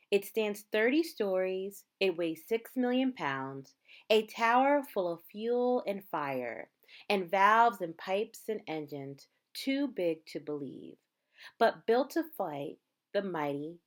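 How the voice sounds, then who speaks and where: Slow, expressive woman reading a storybook aloud. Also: on the low side. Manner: measured, dramatic phrasing with emphasized words. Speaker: teacher reading a picture book aloud to students.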